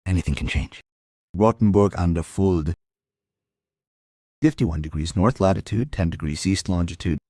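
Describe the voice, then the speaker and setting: A man playing a world-weary police detective, narrating a crime audio drama in a measured, musing way. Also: sits low, warm tone, no room sound, studio quality. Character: world-weary male police detective telling his case.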